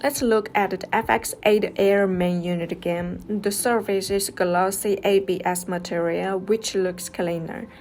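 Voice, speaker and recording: A woman's voice: medium pitch, fairly even intonation, unhurried, hardly any pauses. A woman narrating a scripted product review step by step as the reviewer. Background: music.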